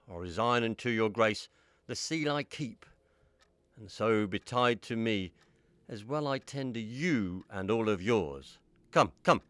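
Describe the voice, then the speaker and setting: Courtly archbishop, widely swooping voice. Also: male voice, on the low side, a bit bright, no echo. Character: courtly, reassuring archbishop, soothing and dutiful. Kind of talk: Shakespearean audio drama acting.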